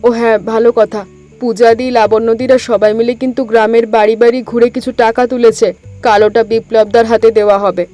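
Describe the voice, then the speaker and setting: A woman voicing a caring younger sister in a family cartoon drama, gently scolding her brother. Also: fairly high pitch, little pitch movement, fast talker, faint music behind, close to an everyday voice.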